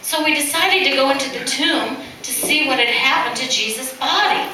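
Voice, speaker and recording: A woman playing a female follower of Jesus in an amateur puppet show, telling astonishing news deliberately. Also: sits high, sharp tone. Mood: earnest. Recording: very echoey, distant mic, faint noise.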